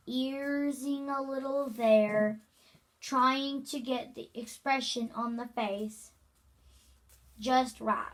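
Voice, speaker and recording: A woman's voice: sits high, fairly bright tone. A female amateur reader reads a children's novel aloud with casual asides, stumbling over words and repeating them. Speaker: amateur reader hosting a read-aloud video.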